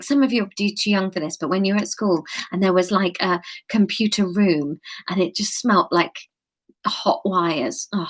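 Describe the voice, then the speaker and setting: A woman's voice: medium pitch, fairly bright tone. A slightly tipsy woman comedian reading a novel aloud on a live stream, giggly and chatty, breaking off to answer comments. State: a bit tipsy. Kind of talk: live-streamed storybook reading with chat asides.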